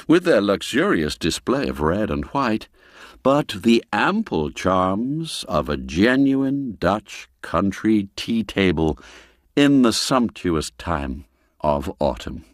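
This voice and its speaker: A low-voiced man narrates an old-fashioned story at an unhurried pace, with a swooping, expressive range. His delivery is theatrical and savors every ornate phrase. Music plays behind him. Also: dry recording, studio quality. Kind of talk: scripted literary audiobook narration. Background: background music.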